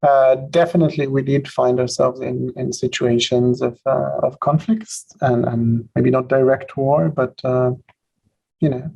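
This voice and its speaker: A man from Israel, a film director answering on a festival panel, slow and measured with frequent fillers. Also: mid-range voice, fairly even intonation, vocal fry, warm tone, breathy edge. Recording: dull, muffled sound.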